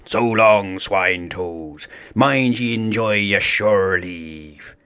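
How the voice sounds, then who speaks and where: A man's voice: fairly low pitch, slow, fairly bright tone, clean tone. A man voicing a plucky, blustery pirate captain rallying his crew in a children's storybook. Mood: triumphant. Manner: blustery pirate talk with arrs and mateys.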